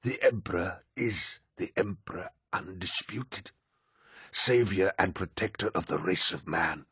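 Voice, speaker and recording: A man voicing a frail, defiant elderly ruler with a thick antique accent and a raspy voice in an audiobook. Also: sits low, a little slow, a bit bright, dry recording, clean studio sound, exaggerated voice. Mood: indignant. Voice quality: raspy and gravelly. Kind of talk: audiobook character voice.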